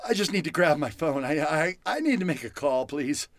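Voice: mid-range voice, expressive, a little fast, dry recording, lightly acted. A performer playing a frightened, flustered captive of robots in old-time radio horror style, stammering and pleading. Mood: bewildered, pleading.

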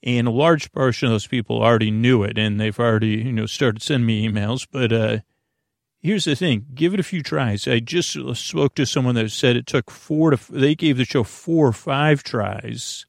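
A man with a creaky, gravelly voice hosting a bedtime podcast in a meandering, halting, folksy monologue full of digressions. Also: mid-range voice, no echo, studio quality. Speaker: podcast host.

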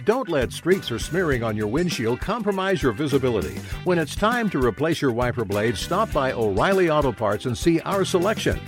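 A man's voice: mid-range voice, sing-song, brisk, few pauses. A man reading a scripted advertisement as an announcer, upbeat and polished. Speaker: commercial announcer. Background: music.

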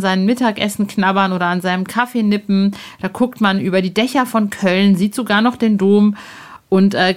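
A woman hosting a podcast, chatting in a lively, enthusiastic way with fillers. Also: medium pitch. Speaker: podcast host. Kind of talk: casual podcast chat. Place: rooftop terrace outdoors, construction noise nearby.

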